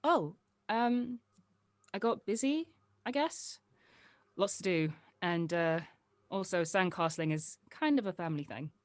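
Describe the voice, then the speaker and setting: A woman plays a grown-up chatting with a young child in an audio drama read. The delivery is casual and teasing, with guarded edges. The pitch moves around freely and the sound is close and dry. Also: lively intonation, measured pace, no echo. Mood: wistful, guarded. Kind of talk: acted script table read.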